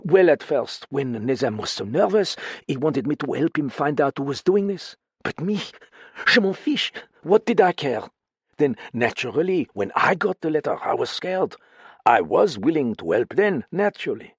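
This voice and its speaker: Excitable woman in broken English, put on by a male reader, high and pleading, husky edge, dry close sound. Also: normal speed, no room sound, heavily acted. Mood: agitated.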